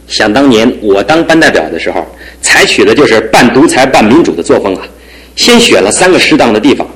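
A man's voice: medium pitch, big pitch swings, a little fast, low-quality recording. An actor voicing a good-natured, joking young man who is a bit pampered, in a studio radio drama. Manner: jokey and warm-hearted, earnest when serious. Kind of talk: 1960s-70s studio radio drama acting.

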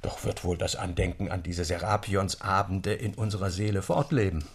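A reflective, earnest literary gentleman speaks solemnly in a radio drama. His voice is low, creaky and rough, with a wistful manner. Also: moderate pace. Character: wistful, earnest literary friend giving a toast.

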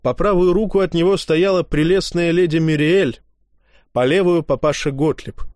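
A man reading a humorous fantasy tale aloud as an audiobook narrator, lively in his storytelling. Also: on the high side, normal speed, no room sound, studio quality.

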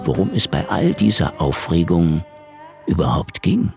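An actor playing a cynical Soviet murder investigator in a noir radio drama, gravelly and deadpan. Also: male voice, sits low, measured pace, faint music behind, full character voice. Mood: wry, brooding. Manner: deadpan, sardonic. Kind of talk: radio noir drama with first-person narration.

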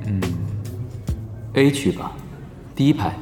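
A man's voice: medium pitch, animated, slow pace, a little mellow, faint music behind. A man playing a shy young esports champion in a radio drama, terse, hesitant and reserved. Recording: echoey arena with crowd behind.

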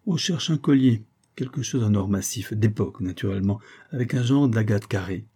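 A man's voice: on the low side, moderate pace, dark tone, breathy edge. A man voicing a brash, self-satisfied male tourist with a blunt, jovial, boastful manner, in a storybook reading. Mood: demanding.

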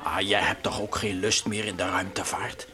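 An actor playing an anxious, wry space traveller who grumbles and jokes colloquially in a Dutch radio play. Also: male voice, low-pitched, fairly even intonation, normal speed, bright, forceful tone. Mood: wry, mocking.

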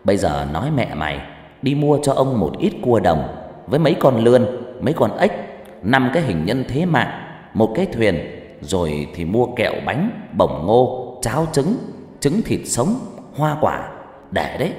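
Man playing a gruff, bossy old village sorcerer, echoing. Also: medium pitch, big pitch swings, moderate pace, darkish tone, pitched above the performer's own voice, natural voice. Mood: bossy, instructing. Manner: commanding, blunt, scolding. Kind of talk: dramatized horror storytelling. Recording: echoey hall reverb.

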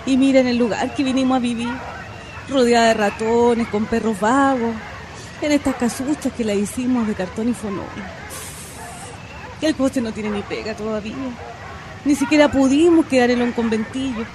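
An actress performs a poor Chilean migrant mother in a radio drama. Her somewhat high voice is weary and complaining, with colloquial turns of phrase. Noise is clearly heard behind her. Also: normal speed, close to an everyday voice. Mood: weary, lamenting. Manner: lamenting, colloquial. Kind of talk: Spanish-language radio drama acting. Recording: noisy track with outdoor ambience, barking dogs.

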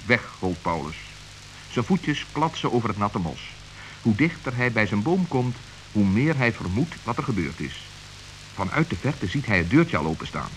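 A man narrates a children's fairy-tale radio play. His voice sits fairly low. He tells the story in a calm, even, measured way. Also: slight background noise, rough recording.

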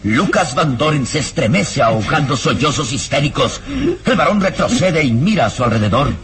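A man's voice: a little high, animated, moderate pace, over music. A man narrating a radio drama in a dramatic, scripted storytelling style.

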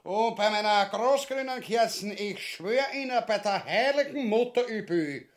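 A crude Austrian glutton in a radio farce, with a high, wildly swinging voice. He blusters and bellows theatrically, a coward with big ambitions. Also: animated, unhurried. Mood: pompous, scheming. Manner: coarse, bellowing, swooping theatrical outbursts. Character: vulgar, blustering, cowardly middle-aged male glutton and usurper. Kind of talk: farcical radio play acting.

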